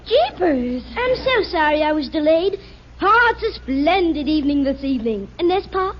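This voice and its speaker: A man's voice: very high-pitched, big pitch swings, normal speed. A young man playing a teenage son who puts on grand, affected theatrical airs in a radio comedy. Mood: grandly theatrical. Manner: affected, pompous, theatrical diction. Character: teenage son posing as a grand, pompous British actor. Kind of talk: 1940s radio comedy acting. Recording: muffled, noisy old radio recording with audience.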